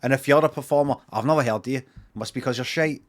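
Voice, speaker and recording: A man's voice: mid-range voice, fast. A Glaswegian Scottish man chatting casually on a livestream, rambling, swearing freely and repeating himself for emphasis.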